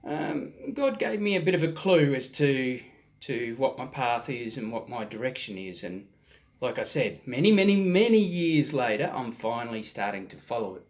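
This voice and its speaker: A man, hosting his own channel and telling a personal story in a halting way, his voice slightly hoarse from a recent virus. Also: medium pitch, big pitch swings. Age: 40s. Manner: halting, many ums and restarts, soft-spoken. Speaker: vlog host.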